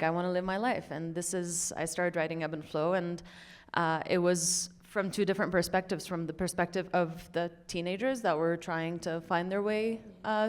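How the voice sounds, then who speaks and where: A woman from Lebanon in her thirties speaks in a low, smooth, resonant voice. She answers thoughtfully, pausing often with fillers as she gathers her words. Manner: reflective, hesitant with many fillers. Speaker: filmmaker guest. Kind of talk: conversational Q&A answers.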